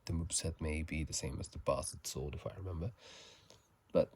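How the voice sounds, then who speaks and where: A man speaking in a soft ASMR whisper and murmur, casual and halting, recorded on a phone microphone. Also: extremely low pitch, husky. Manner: halting, casual, self-correcting. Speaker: channel host. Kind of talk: whispered ASMR game commentary. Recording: faint noise, phone microphone.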